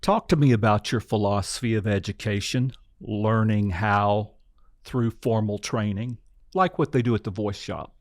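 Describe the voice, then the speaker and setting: A man hosting an interview, asking brief, measured questions. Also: fairly low pitch, no room sound, clean studio sound. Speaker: host.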